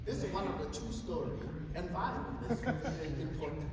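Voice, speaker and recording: A man's voice: a little high, lively intonation, a little fast. Man lecturing in a big echoey hall. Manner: joking, storytelling, keeps checking in with the audience. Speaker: sales trainer. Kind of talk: motivational sales training talk. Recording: echoey hall, distant mic, background noise.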